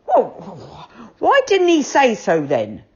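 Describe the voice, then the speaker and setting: A woman's voice: medium pitch, huge pitch swings, slow pace, a little mellow, breathy edge, voice pushed up, heavily acted. A woman voicing a grumpy, hard-of-hearing man covered in pots and pans, talking in a grumbling way. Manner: grumbling, mishearing. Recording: dull lo-fi recording.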